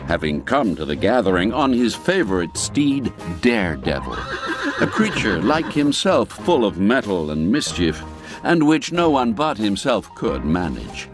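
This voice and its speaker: A man's voice: lowish voice, sing-song, a little slow. A man narrating a classic literary tale in a theatrical, savoring, ornate storytelling style. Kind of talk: scripted literary audiobook narration. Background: background music.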